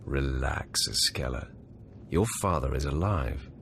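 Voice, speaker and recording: An actor playing a cool, confident young Sith warrior in a video game cutscene, formal and measured. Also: male voice, extremely low pitch, creaky, a bit bright, a little hiss or hum, exaggerated voice. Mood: calm.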